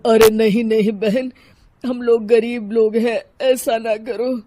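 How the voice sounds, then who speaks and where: A woman voicing a poor, humble male vegetable seller who speaks slowly and meekly in a cartoon story. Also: a little high, fairly even intonation, over music. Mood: pleading. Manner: slow, pleading and meek.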